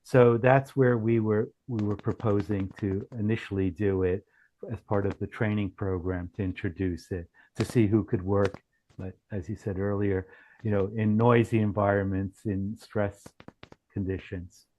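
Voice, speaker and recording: A man presenting as a company founder in a business pitch on a group call, speaking haltingly with frequent restarts. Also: fairly even intonation, a little mellow, poor audio. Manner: halting, often restarts phrases. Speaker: startup founder presenting his product.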